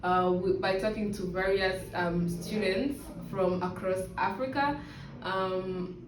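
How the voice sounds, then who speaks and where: A woman's voice: mid-range voice, slow pace, noisy background. Brief: young female student host, friendly and casual, a bit distant in a roomy space. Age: young adult. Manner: friendly, with frequent fillers. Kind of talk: casual video introduction.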